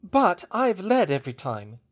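A man's voice: high voice, expressive, brisk, muffled recording, voice pushed up. A man voicing a young British witch professor in an audiobook, passionate, defensive and emphatic. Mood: firm, warning. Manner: emphatic, indignant protesting.

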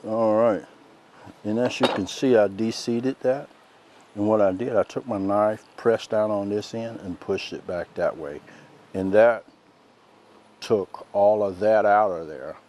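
Soft-spoken man cooking outdoors. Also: on the low side, measured pace. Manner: soft-spoken, folksy and relaxed. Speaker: chef host. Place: outdoors by a lake in woods.

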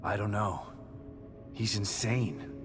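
An actor playing a weary, grieving male detective in a video game, talking low and tersely. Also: slow pace, music in the background. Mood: troubled. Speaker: game character.

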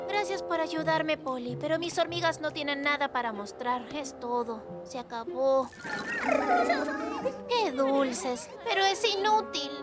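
A woman's voice: high voice, animated, unhurried. A woman voicing an earnest, nerdy young cartoon girl with a precise, dramatic way of talking. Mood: dejected. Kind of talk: animated cartoon dubbing. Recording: music behind the voice.

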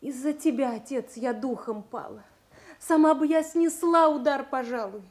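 An actress playing a sorrowful, loyal young captive princess, plaintive in a classical radio tragedy. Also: female voice, sits high, moderate pace, a little mellow, close to an everyday voice. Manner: plaintive, declamatory.

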